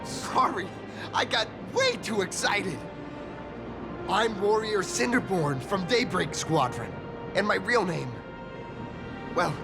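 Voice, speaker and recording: High-pitched, gushing young hero fanboy. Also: male voice, gravelly, exaggerated voice. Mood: sheepish, eager. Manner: gushing, stammering when flustered. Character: excitable starstruck young male actor-turned-masked-hero, earnest and humble. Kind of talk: anime-style video game voice acting.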